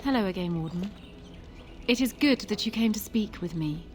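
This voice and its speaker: An actress playing a composed noblewoman in a fantasy video game, speaking formally, courteously and deliberately. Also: mid-range voice, lively intonation, faint music behind, close to an everyday voice. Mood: courteous, contrite. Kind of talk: video game character dialogue.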